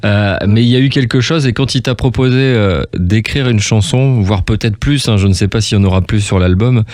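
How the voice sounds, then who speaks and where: Guy with lowish voice doing a chatty radio interview, rambly and keen, dry close mic. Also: a little fast, darkish tone, no echo. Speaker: radio host interviewing a guest. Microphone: close studio radio microphone.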